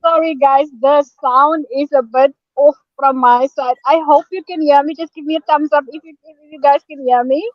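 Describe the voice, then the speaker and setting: A woman's voice: sits high, steady tone, moderate pace, few pauses, no echo. A woman on a video call, flustered and apologetic. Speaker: guest. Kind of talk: casual chat on a video call. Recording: dry, dull lo-fi call audio.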